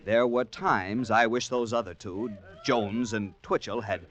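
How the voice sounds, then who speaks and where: An actor playing a prim, eager-to-please store clerk, fussy and hesitant, narrating and acting in 1940s radio drama style. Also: male voice, on the low side, steady tone, a little slow, fairly bright tone. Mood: chatty, smitten.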